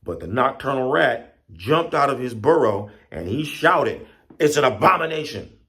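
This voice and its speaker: A man's voice: medium pitch, sing-song, measured pace, a bit bright, voice pushed up. A man voicing flustered, clueless forest animals in a folktale, agitated and defensive as they explain.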